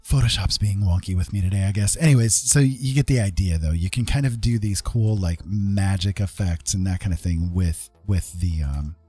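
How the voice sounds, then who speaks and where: A man's voice: low voice, normal speed, few pauses, warm tone, slightly rough, no room sound, faint music behind. A man talking casually and informally through a live digital art tutorial, often checking in with small questions as he goes. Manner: easygoing, informal, tags points with check-in questions. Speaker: digital art streamer and instructor.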